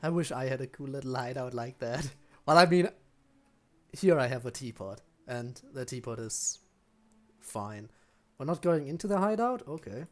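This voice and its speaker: Very low-voiced woman streaming a game. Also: lively intonation, moderate pace. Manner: offhand, playful asides. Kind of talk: casual live gameplay commentary. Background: game music.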